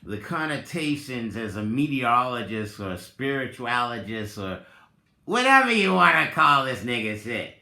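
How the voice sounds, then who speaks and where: A man giving a dramatic reading, theatrical and deliberate, with drawn-out phrases and long pauses. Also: mid-range voice, very animated, creaky, bright, forceful tone, a little hiss or hum. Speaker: reader and commentator, presenter of the recording. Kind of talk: dramatic reading with informal asides. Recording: roomy, echoing sound.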